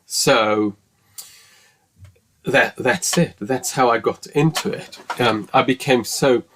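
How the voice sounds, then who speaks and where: A man in his forties speaks slowly, with a mid-pitched voice, and he is earnest. He hosts his own podcast as a solo monologue. He pauses often with ums and restarts his sentences. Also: a bit bright. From English-speaking, living in France. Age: 40s. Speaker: podcast host.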